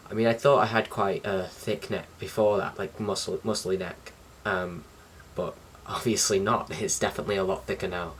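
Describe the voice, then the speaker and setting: Low-voiced young man, chatting casually. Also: steady tone, moderate pace, few pauses. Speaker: vlogger. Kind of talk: casual chat to camera. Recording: distant mic with faint background noise.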